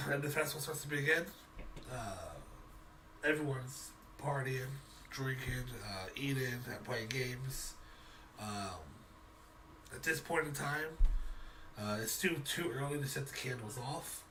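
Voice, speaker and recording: A man runs a tabletop role-playing game as its game master. He improvises haltingly, circling back over phrases before moving on. There is faint noise behind his voice. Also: mid-range voice, bright, forceful tone, low-quality recording.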